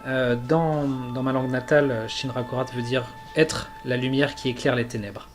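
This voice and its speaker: A man voicing an earnest male elf envoy from a jungle land, speaking haltingly with many fillers. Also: medium pitch, steady tone, over music, lightly acted.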